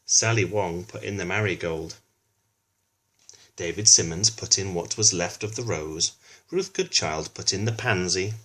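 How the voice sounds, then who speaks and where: A man's voice: sits low, animated, measured pace, a bit bright. A man reading a children's book aloud, narrating with chatty asides addressed to young listeners.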